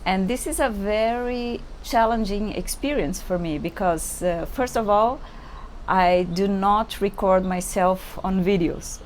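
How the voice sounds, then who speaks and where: A woman's voice: mid-range voice, unhurried, slight background noise. A Brazilian woman, a yoga teacher whose first language is Portuguese, talking to camera in English and often stopping to search for words. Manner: hesitant, searching for English words, many fillers. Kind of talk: unscripted yoga introduction to camera. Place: indoors at home, seated on a chair.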